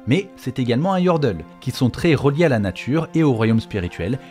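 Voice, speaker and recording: A man's voice: mid-range voice, big pitch swings, fairly quick, a little mellow. A man narrating a scripted explainer as the host of a video on game lore. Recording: faint music behind the voice. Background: faint background music.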